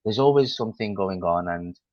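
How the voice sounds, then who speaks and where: A young man with a Mancunian accent talks easily over a video call, his voice sitting very low and unhurried. He fills pauses with ums and restarts as he explains things. The call audio is dull and dry. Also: no echo. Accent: Mancunian English. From Manchester, England. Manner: relaxed, chatty, many fillers and restarts. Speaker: English tutor. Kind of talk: casual video-call conversation.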